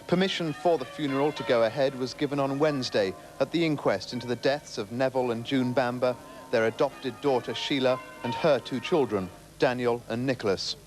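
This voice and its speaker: British male TV reporter, medium pitch, solemn formal voice-over, soft music underneath. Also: brisk, low-quality recording. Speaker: news reporter. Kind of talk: scripted news report voice-over.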